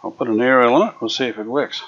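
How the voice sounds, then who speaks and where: A man restoring an old radio, chatting casually as he works, unhurried and full of fillers. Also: mid-range voice, fairly bright tone. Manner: unhurried, thinking aloud with many fillers. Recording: dull lo-fi workshop recording.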